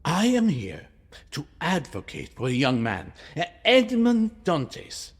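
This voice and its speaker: An actor playing a troubled, kindly shipowner in a period audio drama, speaking earnestly in halting, emphatic phrases. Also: male voice, a little high, huge pitch swings, husky, exaggerated voice. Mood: earnest, pleading.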